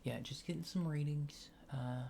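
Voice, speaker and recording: A man speaking softly in an ASMR exam roleplay, murmuring hesitant short instructions and sometimes dropping into a near-whisper. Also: on the high side, normal speed, slight background noise. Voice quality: soft, hushed, breathy near-whisper at times. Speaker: ASMR performer playing an examiner.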